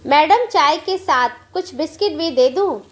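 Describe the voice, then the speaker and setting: A woman plays a self-reliant old tea seller in a moral tale. She is deferential and plain-spoken, with a high, lively voice. Her pace is slow and her temper cheerful and accepting. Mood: cheerful, welcoming.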